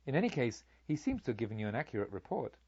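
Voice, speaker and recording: A man voicing a noble, composed, devout woman in formal, gentle storybook dialogue. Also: mid-range voice, very animated, rapid-fire, husky, dry recording, lo-fi sound. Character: noble, composed woman, reverent Buddhist devotee.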